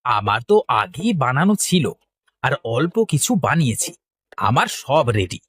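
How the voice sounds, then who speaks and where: A man playing a scheming, jealous village potter with a sly, needling way of talking, in an animated moral story. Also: mid-range voice, normal speed, husky. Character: scheming, jealous male village potter, falsely sympathetic rival.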